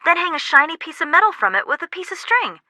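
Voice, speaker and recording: A woman voicing a spirited, practical young sidekick in a video game, frank and brisk in her advice. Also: extremely high pitch, big pitch swings, bright, forceful tone, no echo, full character voice.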